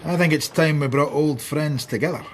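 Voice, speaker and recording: An actor playing a dogged, hard-bitten police detective in a radio drama, wry and terse. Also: male voice, on the high side, moderate pace, very noisy, close to an everyday voice. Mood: wry, resolved. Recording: music and vehicle sound behind.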